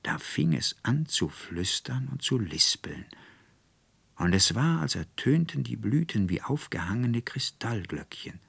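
A man narrating a literary tale as an audiobook reader, in an expressive storytelling style. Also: on the low side, unhurried, creaky, husky, no room sound.

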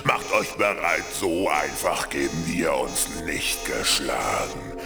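A man playing a gruff, menacing, villainous leader of a gang of computer viruses in a children's radio play. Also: expressive, slow pace, over music, exaggerated voice. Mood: defiant. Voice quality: very deep, gravelly. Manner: commanding, menacing.

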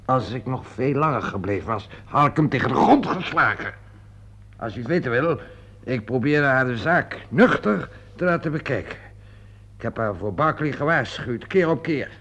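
An actor playing a drunk, distraught theatrical impresario in a radio play, blustering and emphatic. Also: male voice, mid-range voice, animated, normal speed, a bit bright. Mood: bitter, defiant.

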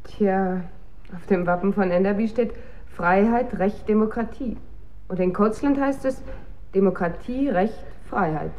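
A woman's voice: mid-range voice, measured pace, muffled recording, natural voice. A woman playing an anxious, questioning colleague of a scientist in a radio drama, uneasy and searching. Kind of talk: German radio drama acting.

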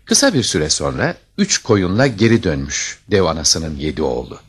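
Male storyteller, low voice, lively intonation, husky, dramatic scripted fairy-tale narration. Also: moderate pace.

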